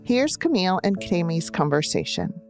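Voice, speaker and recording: Woman narrator, lowish lilting voice, scripted intro, clear music bed. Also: wildly swooping pitch, moderate pace, darkish tone.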